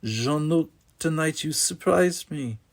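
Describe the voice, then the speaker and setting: A man doing a soft-spoken tender mother. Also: a little high, lively intonation, slow pace, creaky, voice pushed up, heavily acted. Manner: soft, hushed, soothing. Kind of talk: audiobook character voice.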